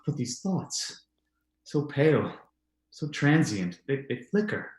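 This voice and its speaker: A man's voice: mid-range voice, very animated, slow. Man playing a formal husband in echoey room. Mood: guilt-ridden. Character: tormented, secretly lovelorn husband, formal and earnest. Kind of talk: old-time radio drama acting. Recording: roomy, echoing sound.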